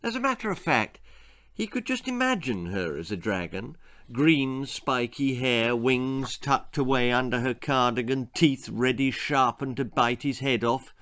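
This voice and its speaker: Guy reading a kids story he wrote, really animated up n down, slow deliberate storyteller delivery. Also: medium pitch. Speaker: author reading his own story. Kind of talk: expressive children's storytelling, scripted narration.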